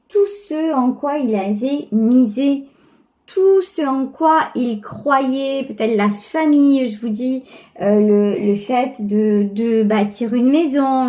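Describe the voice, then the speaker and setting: High-pitched, slow-paced woman reading tarot. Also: dark tone. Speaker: tarot reader, channel host. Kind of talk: intuitive tarot reading, spoken directly to the viewer.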